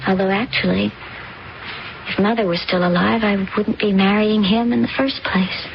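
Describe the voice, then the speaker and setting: A woman's voice: medium pitch, steady tone, moderate pace, gravelly, lightly acted. Woman playing a stubborn young daughter, noisy recording. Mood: earnest. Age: young adult. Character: stubborn, wistful young daughter resenting her stepmother. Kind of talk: radio drama acting. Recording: dull, noisy old radio recording.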